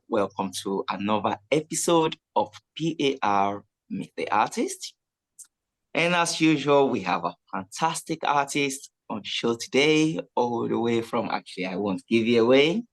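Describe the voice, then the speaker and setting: A man's voice: mid-range voice, animated, normal speed. A man hosting a radio interview, friendly and chatty, with frequent filler phrases. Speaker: radio host.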